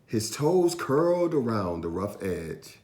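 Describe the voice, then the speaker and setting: A man reading a children's story aloud with expressive, animated narration and drawn-out emphasis. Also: on the low side, reverberant, a little hiss or hum. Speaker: storytime reader.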